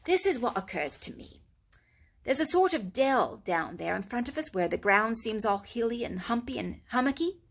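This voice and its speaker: A kindly, excitable male rat talks briskly and eagerly, as a woman reading the story voices him. His voice sits a little raised and jumps about animatedly. He turns impatient when his friend is slow. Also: on the high side. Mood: planning.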